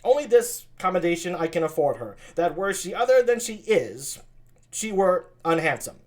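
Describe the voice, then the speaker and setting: Casting: scornful bachelor, as a reader plays him, lively, wry, unhurried. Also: mid-range voice, pitched above the performer's own voice, lightly acted. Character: mocking bachelor, scornful of love.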